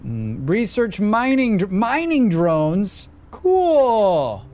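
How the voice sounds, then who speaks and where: A man's voice: high voice, huge pitch swings, extremely slow, darkish tone. A man with a scratchy voice, muttering and thinking aloud as he streams a game. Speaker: streamer playing a demo. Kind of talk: live gameplay commentary.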